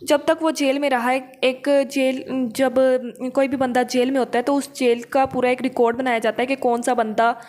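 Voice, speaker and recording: A woman's voice: high-pitched, flat delivery, moderate pace, hardly any pauses, a little mellow. A woman gives an explanatory law lecture in a didactic way, often checking in with short tag questions. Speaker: law teacher. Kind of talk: explanatory lecture, reading English legal text then explaining it.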